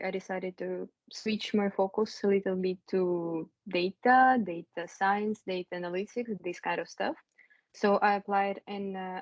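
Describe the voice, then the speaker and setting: A woman from Russia, a student, giving a steady introduction on a video call. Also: medium pitch, no echo, dull audio. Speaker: student panelist.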